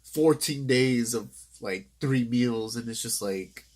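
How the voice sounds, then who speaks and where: A man's voice: medium pitch, slow. A young man with a Southern California accent, nasal from a cold, doing a casual voice-over in a rambling way that corrects itself often. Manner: rambling, self-correcting, many fillers. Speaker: vlogger.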